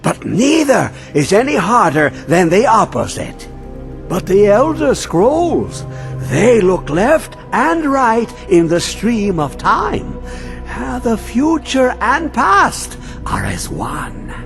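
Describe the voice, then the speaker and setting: A man voicing a mad, obsessed old male scholar who talks in riddles and swings between high and low. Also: wildly swooping pitch, takes it slowly, creaky, a bit bright, heavily acted. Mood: cryptic. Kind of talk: video game voice acting. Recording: game audio with faint music.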